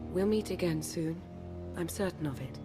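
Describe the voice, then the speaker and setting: An actress playing an earnest, composed female warrior mystic in a video game, speaking in a measured way. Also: fairly low pitch, natural voice. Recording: clear music behind dialogue.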